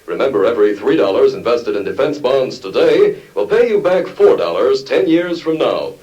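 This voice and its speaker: A man's voice: medium pitch, very animated, moderate pace, darkish tone. A young man reading scripted announcements in a 1950s radio style. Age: young adult. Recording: dull, distant old radio recording, music.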